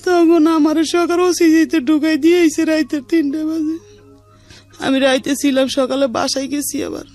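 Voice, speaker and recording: A woman's voice: high-pitched, steady tone, normal speed, darkish tone. A middle-aged woman with a colloquial Bangladeshi dialect giving a rambling, repetitive personal account as a radio interview guest. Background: faint music.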